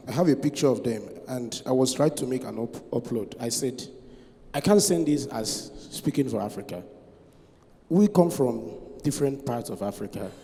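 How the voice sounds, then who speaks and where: Nigerian man from the audience, mellow low tone, formal and proud, echoey conference hall. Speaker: audience member. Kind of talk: public comment at a forum.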